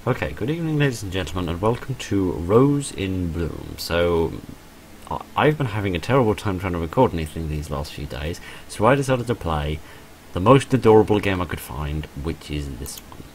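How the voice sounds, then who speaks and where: A man's voice: sits low, animated, moderate pace, slight background noise, low-quality recording. A man hosting a visual novel playthrough, reading the story text aloud and adding his own casual asides.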